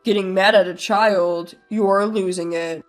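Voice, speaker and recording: A woman's voice: medium pitch, fairly even intonation, a little slow, faint music behind, voice pushed down, lightly acted. A woman voicing a smug, scornful adult brother who talks down to his sister in a mocking way. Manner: mocking, dismissive.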